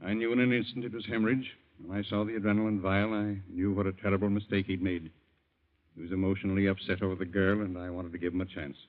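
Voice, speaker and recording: A man's voice: low-pitched, little pitch movement, fast, lightly acted. An actor playing a stern, proud older father with an American accent, formal and imperious in old-time radio style. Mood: weary, resigned. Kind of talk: 1940s radio acting. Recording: muffled old radio recording.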